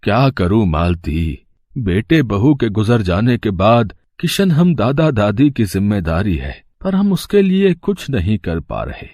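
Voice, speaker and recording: A man voicing a poor old cobbler grandfather, gentle, weary and affectionate, in a moral story. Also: lowish voice, very animated, unhurried, dry recording, clean studio sound. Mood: worried. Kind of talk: animated moral story dialogue. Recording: clear street and traffic noise behind.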